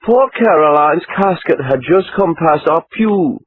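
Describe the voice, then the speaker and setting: Man putting on a vain supermodel woman, Austrian-American accent, high lilting voice, tinny phone-like sound. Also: animated, a little slow, dry recording. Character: tall blonde woman supermodel, self-absorbed, vain.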